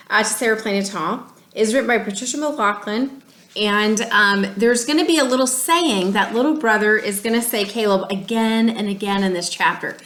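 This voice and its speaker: Female schoolteacher, higher-pitched, reading to children with expression; reverberant room. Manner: expressive, addressing children directly. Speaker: third grade teacher reading aloud. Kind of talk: storybook read-aloud. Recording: echoey room.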